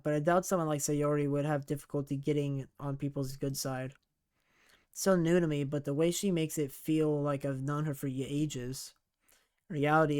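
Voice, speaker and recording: A man's voice: fairly high pitch, clear, resonant tone. A man playing a visual novel, reading the game's text aloud and breaking off for chatty asides, often correcting himself mid-sentence. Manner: chatty, self-correcting reader, laughs at himself. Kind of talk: let's play, reading game text aloud with casual commentary.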